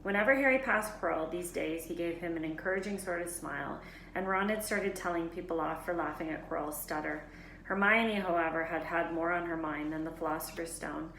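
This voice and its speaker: Female storybook narrator, teacher reading aloud, slightly low register, roomy recording with light background noise. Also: fairly quick, a bit bright. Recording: echoey room, faint background noise.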